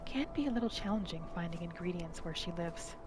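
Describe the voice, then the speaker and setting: A woman calmly narrating a fantasy game character's day in a soft, hushed ASMR storytelling style, slipping into whispers at times. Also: lowish voice. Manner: calm, slow, hushed storytelling with some whispering. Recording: music playing under the voice.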